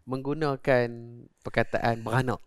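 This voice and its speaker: A man hosting a relaxed conversation, explaining words at length and affirming his guest often. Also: mid-range voice, unhurried, clear, resonant tone, no room sound, studio quality. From Malaysia. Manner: explanatory, chatty, frequent affirming interjections. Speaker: host. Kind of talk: conversational interview.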